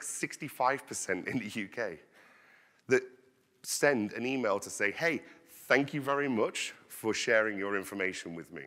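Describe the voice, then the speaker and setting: Loud, bright-voiced man in a hall. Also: medium pitch. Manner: energetic, walks the stage while talking; loud, projecting voice. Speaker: conference speaker. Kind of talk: conference presentation. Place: large conference hall stage. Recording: long hall reverb.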